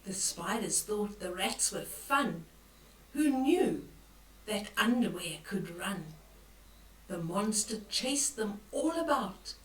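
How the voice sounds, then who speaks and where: An older woman reading a picture book aloud to children, expressive and dramatic, with hushed whispers and exclamations as she comments on the pictures. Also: medium pitch, slow. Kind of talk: bedtime read-aloud with asides on the pictures. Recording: roomy echo, distant from the mic.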